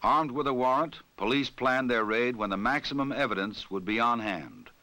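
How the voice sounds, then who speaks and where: Forceful American man narrating a 1960s training film. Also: medium pitch, lively intonation, no room sound. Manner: formal, authoritative, measured delivery. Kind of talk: scripted 1960s documentary narration.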